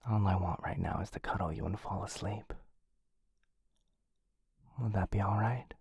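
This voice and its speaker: A man voicing a shy, affectionate dog-person boyfriend in an ASMR roleplay, speaking softly and hesitantly, often close to a whisper, right up on the microphone. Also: on the low side, fairly even intonation, moderate pace, no room sound, exaggerated voice. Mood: sleepy, loving. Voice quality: soft, breathy, often dropping into whisper. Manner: hesitant, tender, intimate. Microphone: close microphone in a dry space.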